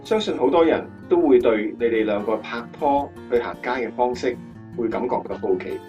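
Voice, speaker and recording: A man's voice: mid-range voice, moderate pace, mellow, far from the mic, lo-fi sound, lightly acted. Radio drama narrator-interviewer: male actor, earnest and presenterly, slightly off-mic over guitar music. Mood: curious.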